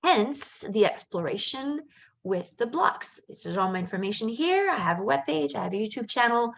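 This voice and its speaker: A woman's voice: on the high side, sing-song, normal speed, creaky. A middle-aged woman who was born in Canada and raised in France, a life coach giving a casual live webinar in a chatty, upbeat way with lots of fillers and asides.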